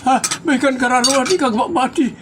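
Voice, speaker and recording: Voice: sits high, normal speed, noisy background, close to an everyday voice. A performer with an Ilocano accent voicing an indignant, whiny customer at a small eatery in a comedy drama.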